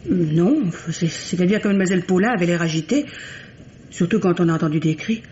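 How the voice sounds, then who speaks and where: A woman's voice: on the low side, fairly quick, mellow, close to an everyday voice. A nervous female household maid played by a radio actress speaks in a hesitant, deferential, colloquial way. It is old French mystery radio drama. The recording is dull and lightly noisy. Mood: guarded, deferential. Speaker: radio actor.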